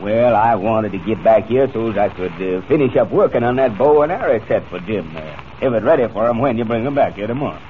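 A man's voice: on the low side, animated, fast talker, few pauses, lightly acted. Man acting a folksy ranch hand, tinny radio. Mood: cheerful, folksy. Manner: folksy cowboy drawl, rambling. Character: genial folksy old-west ranch hand. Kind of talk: 1940s radio serial acting. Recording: tinny, noisy 1940s radio broadcast.

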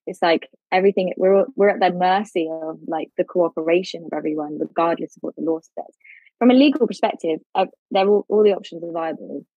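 A woman's voice: lowish voice, fairly quick, no echo, highs cut off. A woman legal expert on a podcast, thinking aloud as she explains the law, with frequent restarts. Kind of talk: podcast discussion.

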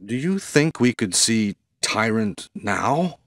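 An actor playing an apologetic, earnest police comrade in a video game, with stilted delivery. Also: male voice, fairly low pitch, sing-song, slow, fairly bright tone, dry recording, clean studio sound, heavily acted. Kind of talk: video game cutscene acting.

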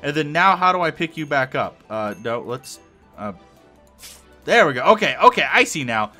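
A young man casually narrating his Minecraft play, rambling and thinking aloud with lots of fillers, his voice a little hoarse. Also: fairly high pitch, sing-song, normal speed, pushed and bright, soft background music. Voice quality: hoarse-sounding. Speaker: Minecraft let's play host. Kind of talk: casual gameplay commentary.

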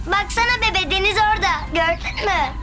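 A woman's voice: very high voice, normal speed, loud music behind the voice. A woman voicing a cheerful, eager little big brother in a preschool cartoon, childlike and enthusiastic. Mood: excited.

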